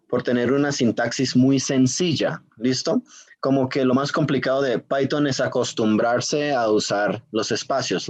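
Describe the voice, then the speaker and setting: A man's voice: mid-range voice, a little mellow, lo-fi sound. A Colombian man giving an online programming class, with a slightly unusual Spanish accent, chatty and full of fillers and little check-in questions. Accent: slightly foreign-sounding Spanish. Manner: chatty, self-interrupting, many fillers and tag questions. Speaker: university lecturer. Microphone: video call.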